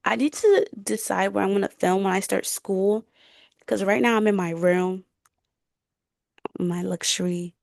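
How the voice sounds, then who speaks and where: A young woman chats casually as a podcast co-host, peppering her talk with slang. Her mid-pitched voice has noticeable vocal fry and sits in a dry, close recording. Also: measured pace, pauses often, darkish tone, no room sound. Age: young adult. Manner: colloquial, slangy, frequent "like". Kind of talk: casual chat. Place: bedroom.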